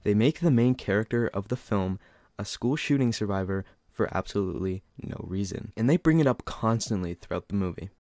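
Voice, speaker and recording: A man's voice: on the low side, lively intonation, a little fast, few pauses, a little mellow, dry recording, studio quality. A man giving a scripted, sarcastic movie review, talking in an exasperated, critical way.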